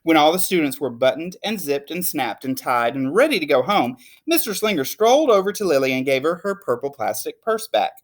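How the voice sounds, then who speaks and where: A woman reading a children's storybook aloud in an expressive, emphatic way. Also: low voice, fairly quick, few pauses. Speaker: teacher reading a picture book aloud. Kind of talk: animated storybook read-aloud.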